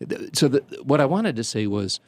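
An older man, a priest from the Chicago area, telling stories in casual conversation, halting often with fillers and restarts. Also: mid-range voice, sing-song, no room sound. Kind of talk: conversational storytelling.

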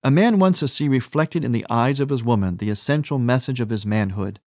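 A man who is a priest, reading a scripted radio spot in an earnest, deliberate way. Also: mid-range voice, dry recording, clean studio sound. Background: soft guitar.

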